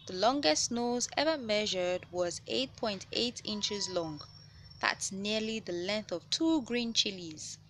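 A woman reads aloud from a children's science picture book at a slow, measured pace. Her voice sits at a middle pitch, with each phrase set out for young listeners. Some background noise runs under the recording. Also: a bit bright. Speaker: children's read-aloud host.

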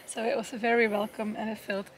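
High-voiced cheerful young woman vlogging. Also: little pitch movement, fairly quick, poor audio. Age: young adult. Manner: cheerful, chatty, addresses viewers directly. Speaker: travel vlogger. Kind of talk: casual travel vlog talk to camera. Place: outdoors by a road and a lake. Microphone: handheld camera at arm's length. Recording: music under the voice.

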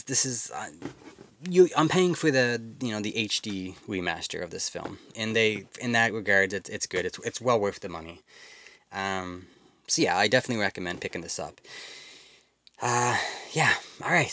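A man's voice: lowish voice, a bit bright. A man giving a casual spoken film review, rambling with many fillers and restarts.

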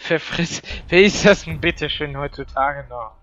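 Bright, sharp-voiced young-sounding gamer. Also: mid-range voice, measured pace, muffled recording, faint music behind. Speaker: video game player. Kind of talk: casual gaming chat with trash talk.

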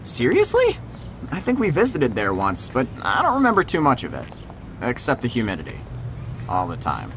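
A man's voice: mid-range voice, huge pitch swings, normal speed, fairly bright tone, slight background noise. A man playing an easygoing, protective teenage boy in an audio drama, casual and conversational. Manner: casual, hesitant, reassuring. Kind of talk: naturalistic audio drama acting.

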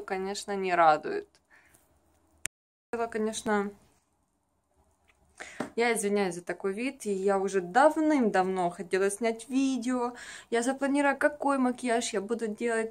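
A woman's voice: on the high side, darkish tone. A young adult woman talks in a casual, confiding vlog style, rambling through everyday stories to a camera at arm's length in a room at home. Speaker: vlogger, makeup artist. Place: small furnished room at home.

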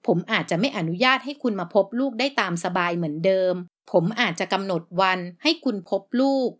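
A woman reading the part of a cold, controlled male doctor in an audiobook, speaking flatly and firmly. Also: mid-range voice, brisk, lightly acted.

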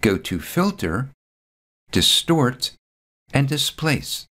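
A man reading a scripted step-by-step software tutorial voice-over, calm and methodical. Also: mid-range voice, slow pace.

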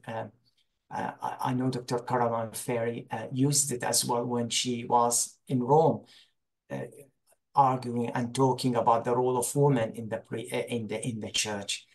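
A man's voice: mid-range voice, low-quality recording. A man in his forties from Iraq with an Iraqi accent, talking informally as an interview guest on a video call, often searching for words. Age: 40s. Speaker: guest, religious teacher. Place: small room indoors, on a video call.

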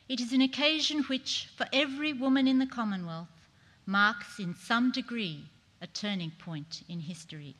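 A middle-aged woman performing a dignified 1940s woman politician's speech to parliament, in measured, declamatory theatrical oratory. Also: mid-range voice, bright, forceful tone. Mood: solemn, humble. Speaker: performer reading a historic speech on stage. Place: large theatre stage, indoors. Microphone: stage microphone on a stand. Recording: echoey hall with long reverb.

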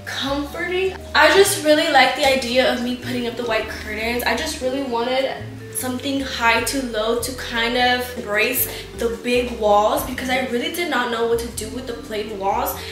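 A woman's voice: fairly high pitch, moderate pace, roomy sound, music in the background. A young woman in her twenties chatting casually to her camera at home, venting and swearing a lot. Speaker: lifestyle vlogger. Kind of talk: casual storytime vlog. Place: bright living room and kitchen at home.

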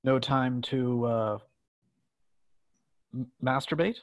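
Man playing a slow, courteous robotic servant table. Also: medium pitch. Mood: apologetic. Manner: halting, apologetic, sing-song at times. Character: polite, servile talking smart table that sings advice. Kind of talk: play reading. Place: indoors at home, on a video call.

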